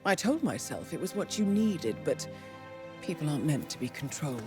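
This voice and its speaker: A scheming handler, measured and controlled, lively pitch, game cutscene with clear music underneath. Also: female voice. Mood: sorrowful. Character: composed, scheming female handler and ally. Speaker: video game character. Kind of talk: cinematic game dialogue. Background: game soundtrack music.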